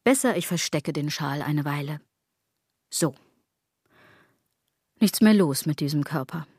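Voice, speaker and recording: A woman's voice: deep voice, unhurried, darkish tone, husky, no echo, clean studio sound, full character voice. An actress playing a self-critical woman who muses ironically in a literary radio drama monologue. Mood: self-deprecating, brooding. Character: self-critical woman brooding obsessively over clothes, body and gods.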